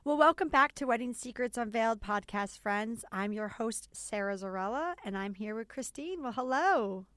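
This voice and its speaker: A woman's voice: a little high, expressive, normal speed, hardly any pauses, a bit bright, dry recording. A woman in her forties hosting a wedding podcast, chatty and enthusiastic, speaking close to the mic. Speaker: podcast host and wedding photographer.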